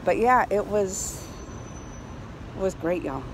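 A middle-aged woman giving a casual, excited review to camera in an animated, colloquial way. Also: medium pitch, takes it slowly. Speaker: vlogger reviewing a film. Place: outdoors on a high-rise terrace in a city. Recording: clear outdoor ambient city noise.

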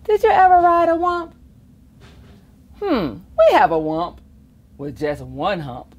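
A middle-aged woman reading a rhyming picture book aloud to children in an expressive, playful way, with asides of her own. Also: mid-range voice, slow, dull audio. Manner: expressive, chuckling asides, acts out the rhymes. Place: library children's reading corner, indoors.

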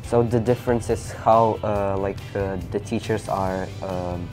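A man's voice: fairly low pitch, fairly even intonation, unhurried, music in the background. A teenage boy interpreting for a friend in an interview, hesitant with many fillers. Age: teens. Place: indoor studio with orange backdrop. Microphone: lapel.

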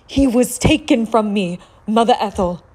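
A young aristocratic widow lost in grief. Her voice is dark and quite breathy. She speaks with formal, theatrical desperation in the manner of a gothic radio play. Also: on the high side, moderate pace, low-quality recording. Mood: anguished. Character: young grieving noblewoman, genteel and desperate widow. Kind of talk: gothic audio drama acting.

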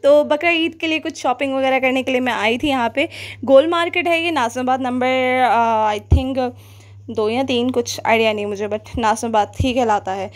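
A woman's voice: high voice, moderate pace. A young woman with a Pakistani Urdu accent narrating a shopping vlog in a chatty, rambling way with many fillers. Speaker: vlogger.

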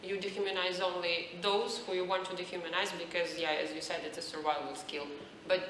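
A woman with a Ukrainian accent talks unscripted as a panelist. Her voice is bright and forceful, recorded in an echoey hall with faint noise. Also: fairly low pitch, flat delivery, a little fast. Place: large glass-walled event space, panel before seated audience.

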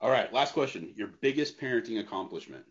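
A man's voice: on the low side, lively intonation, fast talker. A man hosting a casual podcast interview over a video call, asking a guest questions. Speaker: podcast host. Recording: dull video-call audio.

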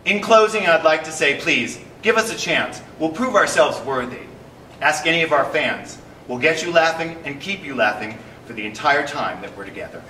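A man in his fifties who founded a theater company, talking casually to the camera from a small stage with dry, deadpan humor. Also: on the high side, normal speed, echoey room, far from the mic, a little hiss or hum, poor audio. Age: 50s.

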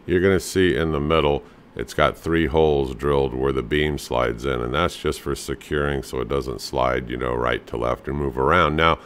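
A middle-aged man hosting a home handyman show, casually explaining table leg designs over photos with a relaxed, plain-spoken manner and lots of "you know.". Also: rumbling low.

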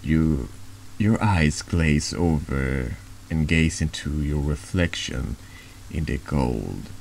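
Very deep, slow, creaky man narrating. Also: lively intonation, a little mellow, slight background noise. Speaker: vtuber reading a text game aloud. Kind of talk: slow horror ASMR narration.

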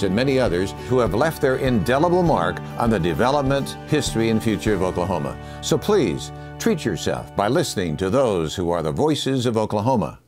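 A man announces a formal, scripted promo. His voice is fairly low but moves with lively intonation, over clearly audible guitar music.

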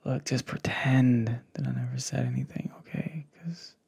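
A young man speaking softly and hesitantly close to the mic in a casual, intimate ASMR roleplay. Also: medium pitch, huge pitch swings. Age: young adult. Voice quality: gravelly, breathy. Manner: hesitant, halting, soft-spoken. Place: indoors, small home setup with fabric backdrop. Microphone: desktop condenser mic with pop filter, close up.

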